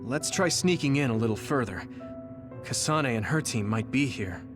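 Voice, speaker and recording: An actor playing an earnest, gentle young soldier with psychic powers in a video game cutscene. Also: male voice, mid-range voice, animated, moderate pace, music in the background, natural voice.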